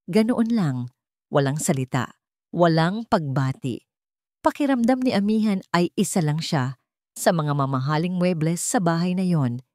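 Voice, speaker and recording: A woman's voice: on the low side, lively intonation, a little slow, a little mellow, no echo, clean studio sound. A woman with a Filipino accent reading dramatic scripted narration as a storyteller.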